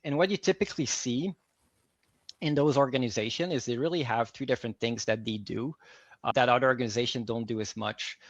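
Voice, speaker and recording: A man's voice: mid-range voice, fairly bright tone, no echo. A man from Quebec City whose first language is French, answering interview questions in an earnest, searching way. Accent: Québécois French. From Quebec City, Canada. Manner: earnest, restarts and repeats words while thinking. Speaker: guest, workplace psychology advisor.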